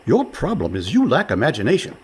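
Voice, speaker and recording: A man's voice: medium pitch, huge pitch swings, a little fast, darkish tone, a little hiss or hum. An actor playing a cheerful, scheming and overconfident con man in a comic radio play, breezy and persuasive.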